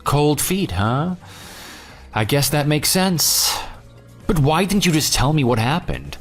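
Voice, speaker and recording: A man's voice: fairly high pitch, very animated, slow pace, soft background music, exaggerated voice. A man playing a jilted but forgiving ex-fiance in a radio drama, earnest and questioning. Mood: confused, questioning.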